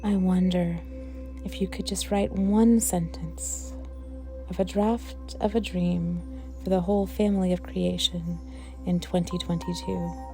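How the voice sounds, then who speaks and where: A woman leading a guided meditation for worship, speaking in a gentle, reflective manner with unhurried, pausing phrases. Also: fairly low pitch, fairly even intonation, over music. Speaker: worship leader guiding a meditation.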